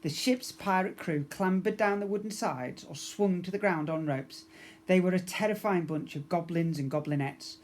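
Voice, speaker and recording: A man's voice: high voice, moderate pace. A man narrating a children's story expressively, as a teacher reading to young pupils.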